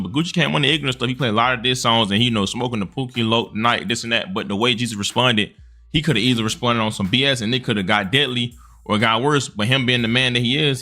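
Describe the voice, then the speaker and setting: A man's voice: mid-range voice, pushed and bright. A man giving casual opinion commentary in an earnest, emphatic, slangy way.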